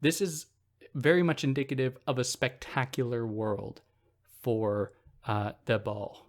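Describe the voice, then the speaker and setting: A man hosting a philosophy podcast, explaining a text in a relaxed, conversational way and thinking aloud with fillers and restarts. He speaks in a soothing voice. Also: mid-range voice, a little slow. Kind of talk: casual explanatory lecture.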